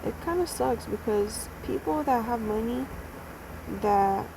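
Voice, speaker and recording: A young woman speaks to her phone while close to tears, and her voice drops into a gravelly fry. She talks haltingly, repeats herself and sighs between thoughts. Constant vehicle noise rumbles behind her, as if she is recording inside a car. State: sad, near tears. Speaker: vlogger. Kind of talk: emotional personal video message.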